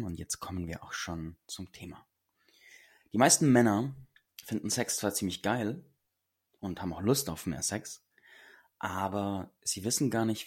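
A man with a low, husky voice that sounds a bit hoarse talks earnestly and reflectively to his listeners as a podcast host. He leans into words with emphatic repetition, and the rasp in his voice never quite clears. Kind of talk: solo podcast talk.

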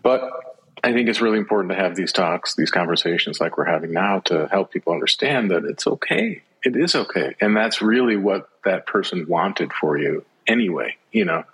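A middle-aged man with a low, husky voice speaks as an interview guest. He is earnest and reflective, often pausing and repeating words as he finds his thought. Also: very animated, fairly bright tone, poor audio. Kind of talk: reflective personal conversation.

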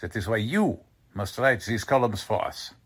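An actor playing a courteous, cold German Nazi agent who makes veiled threats in a deliberate, suave way, in old-time radio drama style. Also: male voice, lowish voice, animated, slightly rough. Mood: smooth menace.

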